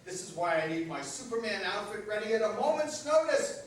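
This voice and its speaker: A man's voice: sits high, very animated, brisk, far from the mic. A man playing a defensive, self-important aging superhero who is out of work, in a staged comedy play reading. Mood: earnest, anxious. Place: echoey room at a live staged reading. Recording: very echoey hall from afar, faint noise.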